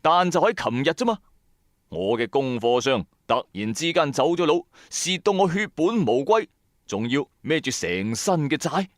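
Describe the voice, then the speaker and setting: Man acting an animated, hard-pressed, streetwise narrator. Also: mid-range voice, moderate pace, no echo, clean studio sound, lightly acted. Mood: bitter, lamenting. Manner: colloquial, emphatic storytelling. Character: bankrupt male electronics trader, hard-pressed, hot-tempered, streetwise. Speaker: first-person narrator and lead. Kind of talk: dramatic scripted narration in a radio drama. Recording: background music under voice. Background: music.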